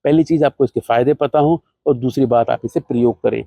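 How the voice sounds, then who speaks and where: A man presenting an ayurvedic herbal remedy, explaining benefits and uses step by step in a steady, instructive way, with English terms mixed in. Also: mid-range voice, fairly quick, creaky, no room sound. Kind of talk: explanatory health talk to camera.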